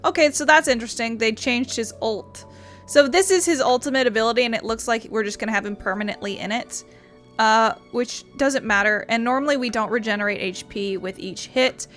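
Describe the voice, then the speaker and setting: A woman's voice: fairly high pitch, a bit bright. A woman gaming streamer giving casual commentary as she plays. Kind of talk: casual let's-play commentary. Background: game music.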